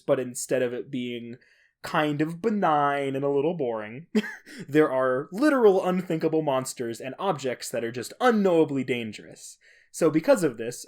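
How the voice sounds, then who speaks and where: Casually rambling male podcast co-host. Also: medium pitch. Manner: rambling, self-deprecating asides; chuckles at own remarks. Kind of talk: casual solo podcast talk explaining a researched topic.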